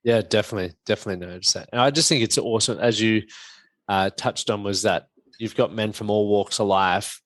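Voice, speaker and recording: A man hosting a podcast, relaxed, upbeat and chatty. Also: fairly low pitch, fairly quick, dry recording. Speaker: podcast host. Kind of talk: casual conversational interview.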